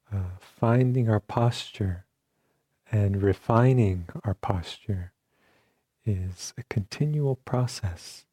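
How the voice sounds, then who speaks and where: Male meditation teacher, low-pitched and very slow, calm delivery with generous pauses. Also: slightly rough, no echo. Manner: calm, unhurried, with long pauses between short phrases. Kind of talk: guided meditation instruction.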